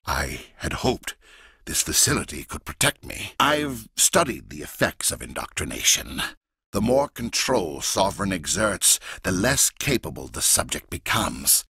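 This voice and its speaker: A fanatical alien warlord in a video game, menacing and cold. His low, very husky voice has a sharp, forceful edge. He speaks deliberately and grandly, with intense swings of pitch. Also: big pitch swings, no room sound, clean studio sound, exaggerated voice. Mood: cold, ominous. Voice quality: gravelly, raspy. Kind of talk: dramatic video game voice acting.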